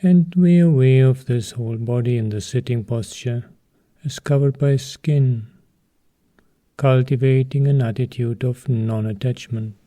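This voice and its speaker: Slow, very mellow man guiding meditation. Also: mid-range voice. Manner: calm, measured, with long pauses between short phrases. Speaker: meditation teacher. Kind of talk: guided meditation.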